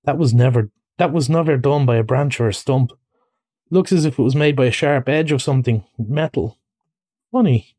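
A man voicing an excitable, kindly but bossy rat in a story, speaking in an eager, emphatic way. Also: mid-range voice, normal speed, husky, no echo, clean studio sound, natural voice. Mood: puzzled.

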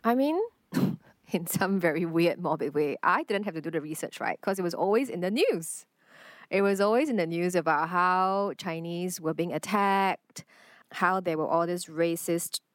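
Woman, podcast guest, earnest, lowish voice, dry close sound. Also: dry recording. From Singapore. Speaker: guest artist. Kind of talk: reflective podcast conversation.

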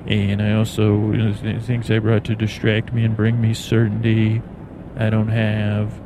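Droning, creaky American man over plane noise. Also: on the low side. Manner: meandering, halting, droning, soothing. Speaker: podcast host. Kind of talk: rambling bedtime sleep podcast monologue. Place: aboard an airplane in flight. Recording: clear engine and cabin noise. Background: steady plane noise.

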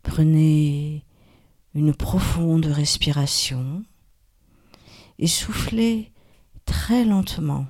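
Very slow-paced woman guiding relaxation. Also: sits low. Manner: calm, soothing, with long pauses. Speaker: yoga nidra guide, host. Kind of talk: guided relaxation meditation.

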